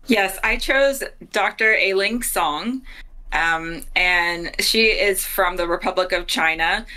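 Woman in her thirties, crisp bright tone, casual chat, home office video call. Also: medium pitch, slow pace. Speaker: guest player.

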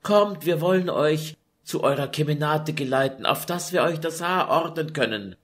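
A man voicing a group of court ladies who comfort their mistress in soft, coaxing tones. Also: a little high, moderate pace, voice pushed up, close to an everyday voice.

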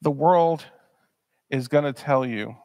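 A man's voice: mid-range voice, slow pace, darkish tone, no room sound. A man in his thirties preaching a sermon in a church, earnest and emphatic, often repeating words as he presses a point. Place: church sanctuary, speaking at a pulpit.

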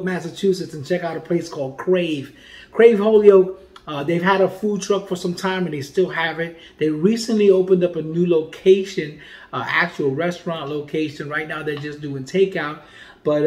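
A man in his forties, a comedian hosting a casual food review vlog, talking in a playful, animated way and dropping to quiet murmurs while he tastes. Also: fairly high pitch. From Puerto Rican heritage. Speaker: food vlogger and comedian host. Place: home dining room indoors. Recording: echoey room.

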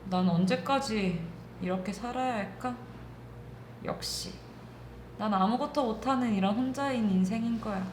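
A woman's voice: a little high, moderate pace, a little mellow, slight background noise, natural voice. A woman voicing an emotional, tender young rainbow girl who doubts herself, in a children's storytelling. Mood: gloomy, hurt. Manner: plaintive, emotional, exclaiming. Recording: roomy echo, music underneath.

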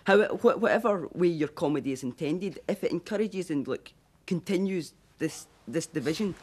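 A man's voice: a little high, expressive, moderate pace, slightly rough. A young man in a TV studio audience pressing his question earnestly and at length. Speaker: audience member asking questions. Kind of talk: studio discussion.

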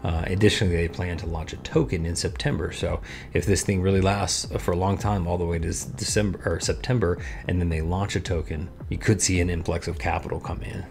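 A man's voice: sits low, steady tone, a little fast, vocal fry, darkish tone. A man in his thirties chatting frankly through a screen-share review as a video host, with many casual fillers, recorded on a desk mic in a small lit room. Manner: chatty, frank, fillers like "you know" and "right?". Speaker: crypto investing YouTuber. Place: small room with purple and blue lights.

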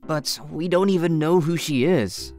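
An actor playing an excitable, easily flustered teenage boy in an anime dub, given to blurting. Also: medium pitch, a little slow, faint music behind, lightly acted. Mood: wary. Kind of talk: anime manga dub acting.

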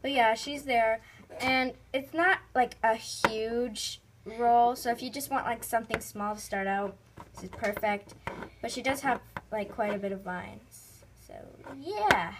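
Casting: female vlog host, slightly high voice, informal and rambling, unhurried, casual narration captured on a phone. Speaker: host of a toy video channel. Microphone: phone held near the speaker. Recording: noisy handheld phone recording with clicks.

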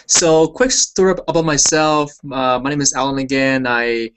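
A man's voice: mid-range voice, moderate pace, clean tone. Chatty man. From Hong Kong. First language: Cantonese. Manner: relaxed, chatty, lots of fillers like "you know" and "right?". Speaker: hangout host. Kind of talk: casual personal story on a video call. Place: small room indoors. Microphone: headset on video call.

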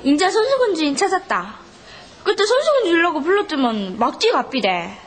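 Brash high-voiced Gyeongsang schoolgirl. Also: very animated, fairly quick, music in the background. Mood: delighted, teasing. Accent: Gyeongsang Korean. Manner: brash, outspoken. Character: plucky, blunt country schoolgirl new in Seoul. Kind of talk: television children's drama acting.

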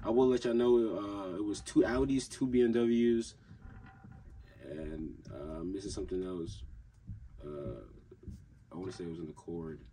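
A man's voice: deep voice, steady tone, a little slow, long pauses, warm tone, a little hiss or hum. A young man hosting a casual car vlog from a small room at home, rambling in a laid-back way with lots of fillers and restarts. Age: young adult. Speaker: car channel host. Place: small home room with desk and gaming setup.

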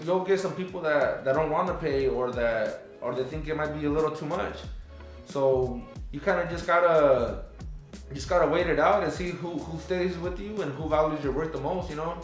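A man in his thirties, a barber, giving casual advice in a relaxed, rambling way, full of fillers and restarts. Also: on the high side. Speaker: barber and channel host. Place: small barbershop, indoors. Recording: echoey shop room with faint music.

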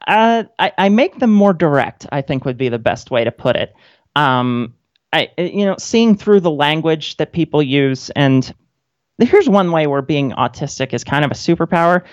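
A woman's voice: sits low, animated, normal speed, dry recording. A woman who is a guest on a radio interview, chatting casually with a wry manner. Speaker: guest, independent author and grad student. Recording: dull, lo-fi line.

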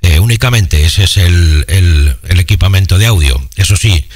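Deep-voiced man, halting radio chat. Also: darkish tone. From Spain. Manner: halting, repeats words. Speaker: amateur radio operator. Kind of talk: casual radio contact chat. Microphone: dynamic vocal microphone through audio rack.

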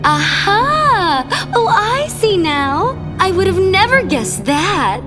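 A cold, sarcastic young villainess, high and drawn out. She taunts over eerie music, in the manner of a video game. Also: sing-song, slow, heavily acted. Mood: mocking. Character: mocking, sadistic young female villain, cold. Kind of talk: video game cutscene acting. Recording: scary music under the voice.

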